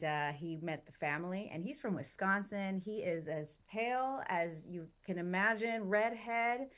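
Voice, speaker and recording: A woman born in Chile and raised in Southern California, chatting animatedly as an interview guest, with many fillers. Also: mid-range voice. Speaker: guest actress. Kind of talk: casual interview chat.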